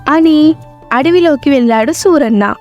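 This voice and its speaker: A woman's voice: high voice, unhurried, a little mellow, lo-fi sound. A woman storyteller narrating a scripted moral tale. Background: faint background music.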